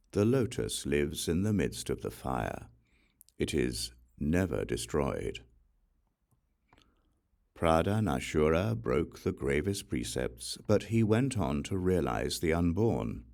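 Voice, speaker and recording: A man reading an audiobook of sacred verse in a solemn, deliberate recitation with measured phrasing and pauses. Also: a bass voice, gravelly, a little mellow. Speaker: audiobook reader.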